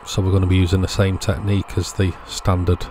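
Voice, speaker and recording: A man's voice: sits low, little pitch movement, fairly quick, noisy background. A man casually narrating a hands-on repair, explaining each step in a matter-of-fact way. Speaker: host of radio repair channel.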